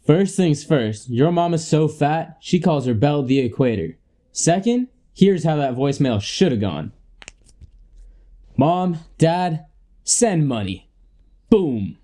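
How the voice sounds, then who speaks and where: A young man acting in a comedy radio play as a crude, cocky slob who coaches people in a pompous, deliberate way. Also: a little high, sing-song, dark tone, full character voice. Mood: smug, instructive. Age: young adult.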